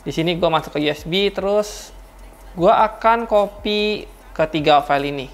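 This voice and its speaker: A woman's voice: on the low side, normal speed. A woman giving a chatty, slangy product review, talking straight to her viewers. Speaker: car audio reviewer.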